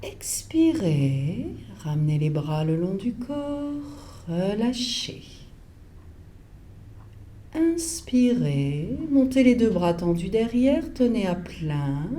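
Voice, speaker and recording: Female yoga teacher for guided relaxation: soft-edged mellow tone, lilting varied pitch, calm and measured. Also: a little hiss or hum. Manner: calm, measured, pausing between short instructions.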